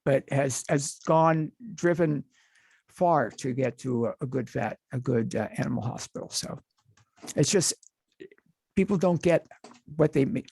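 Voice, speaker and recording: An older man hosting a casual interview, rambling warmly with halting restarts and apologies. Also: medium pitch, vocal fry, breathy edge, no room sound. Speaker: podcast host.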